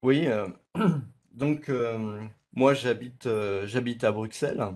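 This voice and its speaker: A man's voice: medium pitch, takes it slowly, darkish tone. A middle-aged man introducing himself off the cuff on a video call panel, hesitant and repeating himself. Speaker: panelist, IT infrastructure specialist. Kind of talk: unscripted self-introduction on a panel.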